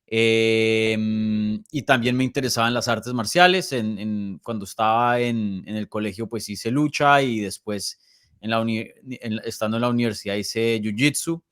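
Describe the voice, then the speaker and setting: Smooth-voiced Colombian man in his thirties. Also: fairly low pitch, unhurried, fairly bright tone, no echo, clean studio sound. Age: early 30s. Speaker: podcast guest, MMA journalist. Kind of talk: casual interview conversation.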